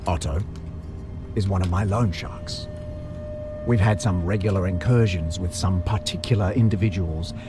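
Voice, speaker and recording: A man performs a villainous butcher boss for an audio drama. His voice sits low, and he talks with theatrical, mock-polite formality and quiet threat. Music plays clearly behind him. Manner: formal, theatrical, falsely courteous. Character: maniacal butcher crime boss, menacingly polite.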